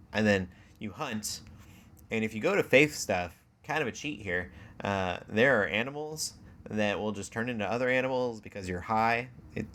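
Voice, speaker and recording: Low-voiced man chatting, noisy background. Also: fairly bright tone. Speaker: podcast host. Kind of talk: casual chat. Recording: clear background noise.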